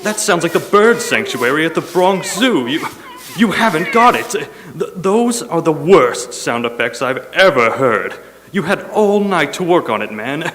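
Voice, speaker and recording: A man's voice: a little high, big pitch swings, measured pace, a bit bright, slight background noise. A man playing a vain, irascible radio star and director in a stage comedy, pompous, blustering and theatrical. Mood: outraged. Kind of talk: stage comedy about old-time radio. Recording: echoey hall with long reverb.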